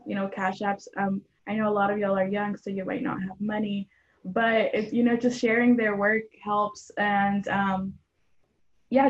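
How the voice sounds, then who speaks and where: Young woman guest, earnest, lots of ums, dull lo-fi recording. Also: medium pitch. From Mexican household in Atlanta, Georgia. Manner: earnest, frequent ums and you knows. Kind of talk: casual interview answers.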